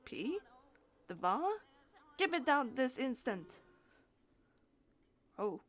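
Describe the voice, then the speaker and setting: A woman's voice: sits high, very animated, slow pace. A woman streaming a game, reading dialogue aloud and chatting as she plays, with stumbles and repeated words.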